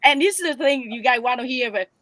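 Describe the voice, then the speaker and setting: A Vietnamese-born woman speaking English with a Vietnamese accent, telling animated stories as a guest in broken English. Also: high voice, fast. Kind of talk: casual storytelling chat.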